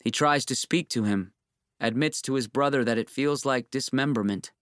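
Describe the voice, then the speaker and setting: Man, audiobook narrator, restrained, solemn, mid-pitched, dry close recording. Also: normal speed, fairly bright tone, no echo.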